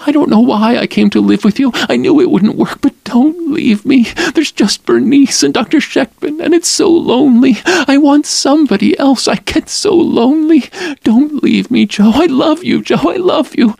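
A man voicing a high, fragile young woman. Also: very animated, moderate pace, creaky, dry recording, full character voice. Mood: pleading. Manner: breathless, pleading, voice lifted high. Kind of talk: audiobook character voice.

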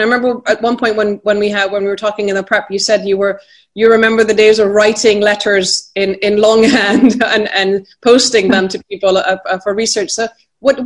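A woman moderating a panel discussion, talking with many hesitant restarts. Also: mid-range voice.

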